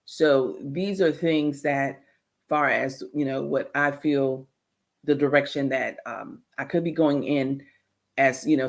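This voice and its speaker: A man presenting informal religious and historical commentary, explaining in a rambling, halting way. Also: medium pitch, creaky.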